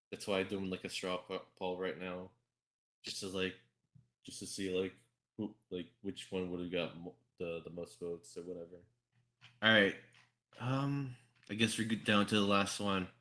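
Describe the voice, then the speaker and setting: Slightly low-voiced man, halting podcast host. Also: fairly even intonation, a bit bright. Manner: halting, repetitive, sweary. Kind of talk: casual group podcast chat.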